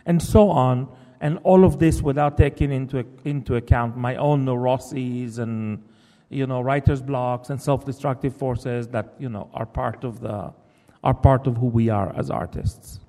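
A man's voice: medium pitch, dark tone. A man whose first language is Hebrew, a novelist giving a thoughtful talk in English and often restarting his sentences. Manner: thoughtful, halting, with many restarts. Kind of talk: reflective public talk. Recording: long reverb of a hall.

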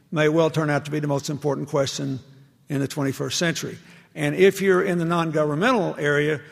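A man's voice: a little high, creaky. A man giving a public lecture to university students, telling anecdotes in an earnest, conversational way. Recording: echoey large hall.

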